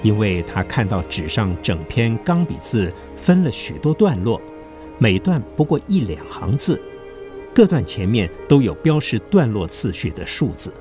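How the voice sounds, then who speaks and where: A man's voice: lowish voice, sing-song, moderate pace, dark tone. A man narrating a scripted historical story for an audio drama. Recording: music behind the voice. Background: clear background music.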